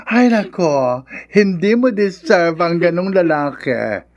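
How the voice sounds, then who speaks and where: A Filipino actor playing a blunt, sassy brotherly confidant giving advice in a radio drama, with an emphatic delivery. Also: male voice, high-pitched, lively intonation, slow, dull audio, exaggerated voice. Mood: sassy, consoling.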